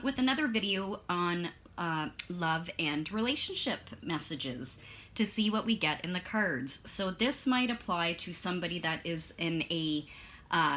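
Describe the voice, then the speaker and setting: Woman doing a chill card reading, bit of a lost voice, lowish but bright and punchy, lots of ums. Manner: chatty, meandering, with many fillers and self-corrections. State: losing her voice, clearing her throat. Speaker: tarot and oracle card reader. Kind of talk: casual spoken card reading.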